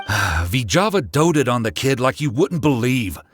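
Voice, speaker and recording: Earnest ex-swindler accomplice. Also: male voice, medium pitch, big pitch swings, unhurried, soft background music. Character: agreeable male accomplice, ex-swindler. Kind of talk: video game voice acting.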